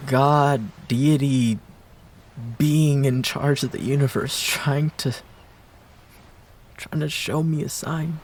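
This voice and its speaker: A man acting a heartbroken ex-boyfriend in an intimate romantic audio roleplay, pleading in a halting, breathy, emotional way. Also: a little high, a little mellow, a little hiss or hum. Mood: searching, hopeful.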